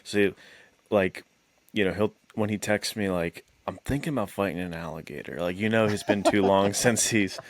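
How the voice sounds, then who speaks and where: An American man co-hosting a podcast, chatting casually in halting, slangy phrases peppered with "like". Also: lowish voice, no room sound. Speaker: podcast co-host. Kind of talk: casual chat.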